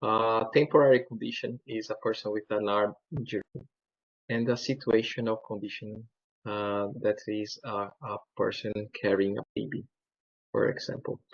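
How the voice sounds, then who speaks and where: A man from Brazil with a Brazilian Portuguese accent, giving a webinar presentation in a halting way with many fillers and restarts. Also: lowish voice, level tone, no echo, muffled recording.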